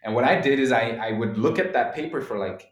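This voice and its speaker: A man's voice: mid-range voice, expressive, fast talker, reverberant. A man from Belgium, a musician guest on a podcast call, chatting casually with lots of hesitations and restarts. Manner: hesitant, many fillers and restarts. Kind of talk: casual interview chat. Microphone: phone call.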